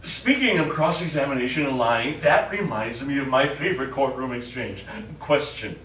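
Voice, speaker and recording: An actor playing a smug, lecherous, none-too-bright lawyer in a live stage comedy, with an eager, suggestive manner. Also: male voice, mid-range voice, normal speed, recorded from a distance, close to an everyday voice. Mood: amused, smug. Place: small theatre stage, distant microphone. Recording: echoey, noisy stage recording from afar.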